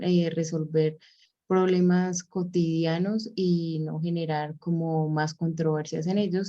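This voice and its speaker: A woman giving an explanatory academic presentation on education, speaking in a measured way with frequent hesitation fillers. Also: on the low side, mellow. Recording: dull lo-fi recording.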